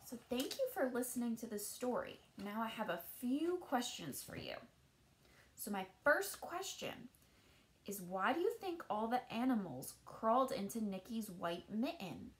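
Slow, higher-voiced woman reading a storybook. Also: a little hiss or hum. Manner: deliberate, expressive storytelling with emphasis on key words. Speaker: teacher reading aloud to children. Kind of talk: picture-book read-aloud.

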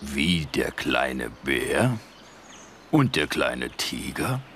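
A man voicing a big, fat, self-important forest bear with a gravelly voice, introducing a children's story pompously and haltingly. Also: very deep voice, very animated, fairly bright tone, slight background noise, full character voice.

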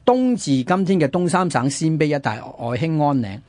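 A man with a Hong Kong Cantonese accent telling history conversationally as a radio host, animated and fond of rhetorical questions. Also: fairly high pitch, normal speed, dry recording. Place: radio studio.

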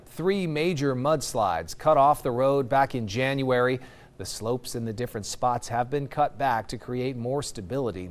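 A man anchoring the evening news in a TV studio, with a crisp, formal delivery. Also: medium pitch, darkish tone.

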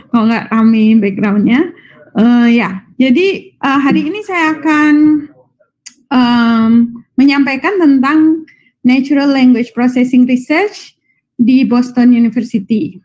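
Slow-paced, higher-voiced Indonesian woman lecturing. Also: a little mellow. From Malang, Indonesia. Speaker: guest lecturer, university professor. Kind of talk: informal, improvised online lecture. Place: indoors on a video call. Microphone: video call microphone. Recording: slightly dull video call audio.

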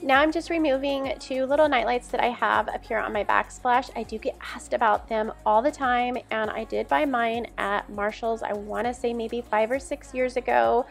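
A woman's voice: a little high, fairly even intonation, moderate pace, creaky, few pauses. A woman giving a casual, friendly voice-over to her viewers as a home lifestyle vlogger. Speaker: home cleaning and decorating vlogger. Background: background music.

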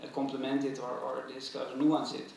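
A man's voice: medium pitch, completely flat delivery, a little fast, gravelly, darkish tone, a little hiss or hum. A man in his thirties with a Dutch accent, answering a lecture as a curator in thoughtful, hesitant academic talk with many fillers. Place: large museum auditorium. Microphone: stand mic at table. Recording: very echoey hall, mic from a distance.

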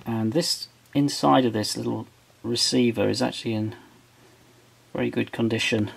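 A man talking through a piece of old electronics at his workbench, explaining each part step by step as he thinks aloud in a relaxed way. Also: medium pitch, normal speed, gravelly, husky. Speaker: electronics restorer. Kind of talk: hands-on show-and-tell. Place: workbench indoors.

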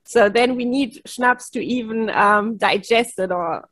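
A woman's voice: a little high, measured pace, a bit bright, dry recording. A middle-aged woman whose first language is German, chatting cheerfully over a video call on a headset from a home office and laughing often. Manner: cheerful, laughs often, many yes and right tags. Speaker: keynote speaker. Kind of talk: casual video-call chat.

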